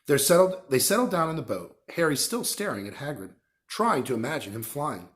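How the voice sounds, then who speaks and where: A man's voice: on the high side, sing-song, brisk, a bit bright. A man reading a children's novel aloud as a narrator. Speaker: story reader.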